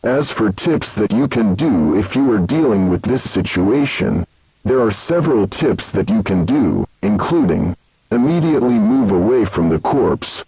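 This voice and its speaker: A man reads out scripted safety instructions as an announcer. His voice is fairly low and dark, heavily husky and breathy. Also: expressive, unhurried, no room sound, rough recording. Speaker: emergency alert announcer.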